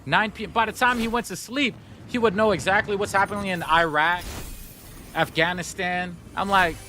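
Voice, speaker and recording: A man's voice: sits high, moderate pace, sharp tone, a little hiss or hum. A man whose first language is Somali, chatting casually and upbeat with his live-stream audience while gaming. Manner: chatty, upbeat, talks straight to chat.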